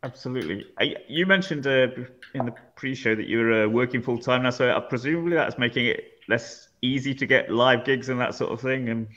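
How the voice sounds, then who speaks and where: Man, host, headset, lo-fi call audio, halting speech. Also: mid-range voice, fairly bright tone. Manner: hesitant, many "uh"s and restarts. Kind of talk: casual online show chat. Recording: dull lo-fi video call audio.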